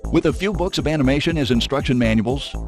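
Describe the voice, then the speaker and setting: A man's voice: mid-range voice, fast talker. Man narrating a documentary over music. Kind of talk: scripted narration. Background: background music.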